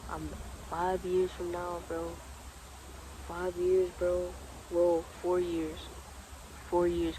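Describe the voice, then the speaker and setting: A man's voice: high voice, noisy background. A man in his twenties, talking casually and earnestly outdoors by the water about spirituality, laid-back and repetitive. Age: 20s. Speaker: vlogger. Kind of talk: casual spiritual motivational talk.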